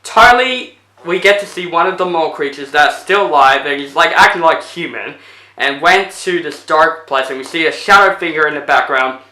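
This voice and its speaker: A man's voice: a little high, moderate pace, reverberant, poor audio. Young man rambling through a movie retelling. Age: young adult. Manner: rambling, animated retelling with lots of fillers. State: voice getting tired. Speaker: movie reviewer. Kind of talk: casual video review. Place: indoors in front of a plain blue backdrop.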